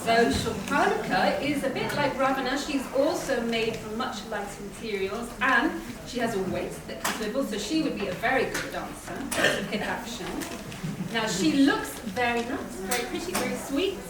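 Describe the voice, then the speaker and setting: A woman's voice: a little high, animated, normal speed. A woman puppeteer giving a live demonstration to a young audience, explaining simply and putting questions to them. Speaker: puppeteer presenter. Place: large echoey indoor museum space. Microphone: distant camera or room microphone. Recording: distant mic, very echoey hall, audience noise. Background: audience chatter.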